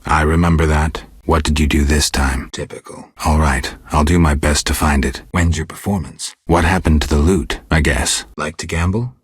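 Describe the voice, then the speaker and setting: A man playing a stoic, gruff, world-weary monster hunter in a video game, with terse, deadpan delivery and a gravelly voice. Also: no echo, clean studio sound, full character voice. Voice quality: gravelly, husky low voice. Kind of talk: video game character dialogue.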